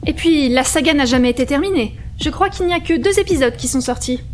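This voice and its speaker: A woman's voice: high voice, lively intonation, fast talker, a little hiss or hum. A woman playing a busy, snobbish start-up worker in a comic audio drama, self-assured and trendy in manner.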